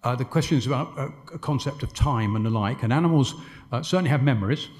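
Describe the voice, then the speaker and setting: A man's voice: mid-range voice, fast, a little mellow. A man answering questions after his lecture, discursive, with stumbling restarts and dry wit. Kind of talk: conference Q&A answers.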